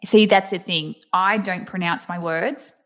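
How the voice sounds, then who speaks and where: A woman's voice: fairly low pitch, steady tone, unhurried, fairly bright tone. Chatty woman with a thick Australian accent. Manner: giggly, chatty. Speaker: guest. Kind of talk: casual chat.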